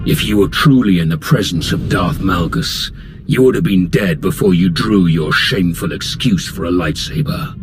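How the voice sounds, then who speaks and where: An actor playing an arrogant, menacing older Sith lord in a video game, speaking in an imperious, deliberate, contemptuous way. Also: male voice, low voice, close to an everyday voice. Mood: cold contempt. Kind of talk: scripted video game acting. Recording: music behind the voice.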